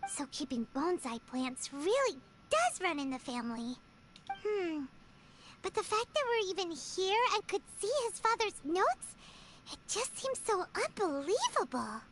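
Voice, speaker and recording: A woman's voice: very high voice, big pitch swings, measured pace, a little hiss or hum, exaggerated voice. A woman voicing a small, chirpy, childlike companion girl in a video game, curious and full of wonder. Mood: curious, amazed.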